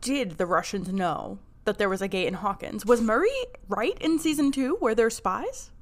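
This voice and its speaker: A woman's voice: medium pitch, animated, normal speed. A woman co-hosting a podcast, chatting casually and reading recap narration. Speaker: podcast co-host.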